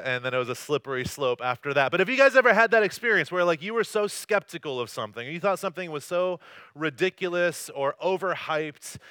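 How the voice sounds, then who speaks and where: Bright-voiced joking male pastor. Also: a little high, clear, resonant tone, dry recording. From North Carolina, USA. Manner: joking, self-deprecating, loose storytelling. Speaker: campus pastor. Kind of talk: conversational sermon with personal stories. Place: church service.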